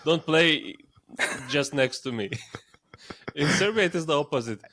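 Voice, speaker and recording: A man's voice: on the high side, lively intonation, a little slow, pushed and bright. Amused man telling an anecdote. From Turkey. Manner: amused, chuckling. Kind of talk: casual anecdote.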